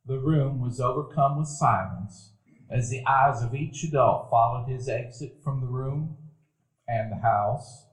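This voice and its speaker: An actor narrating a radio play as a grown man recalling his boyhood, in a measured, reflective storytelling manner. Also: mid-range voice, close to an everyday voice. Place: dinner theater stage before a live audience. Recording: echoey live stage recording.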